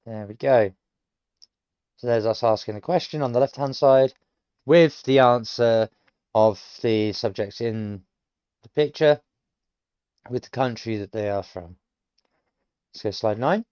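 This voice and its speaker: A man's voice: medium pitch, unhurried, pauses often, a little mellow, clear, resonant tone, no room sound, muffled recording. A British man in his late thirties, thinking aloud as he works through a language course, explaining each answer step by step with frequent hesitations. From United Kingdom. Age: late 30s. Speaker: self-taught learner streaming. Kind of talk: thinking aloud while working through lessons.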